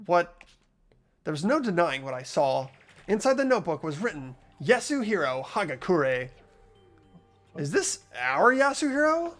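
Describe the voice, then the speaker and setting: A person reading visual novel narration and dialogue expressively, voicing an earnest, anxious high school student. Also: very animated, a little slow, a bit bright, faint music behind. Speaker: player reading a video game's lead role aloud.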